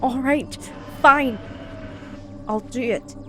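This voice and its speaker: A woman plays a young female student in an audio drama, with a high voice. She sounds urgent and emotional, frightened yet defiant, under loud music. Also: very slow. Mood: reluctant, defiant. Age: young adult. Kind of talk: amateur audio drama acting.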